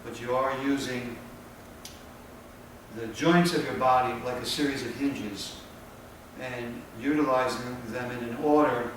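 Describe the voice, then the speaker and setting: A man giving patient, plain spoken instruction while he demonstrates, pausing between short phrases. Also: medium pitch, steady tone. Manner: plain, patient explaining with pauses. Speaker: tai chi instructor. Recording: echoey, distant with background noise.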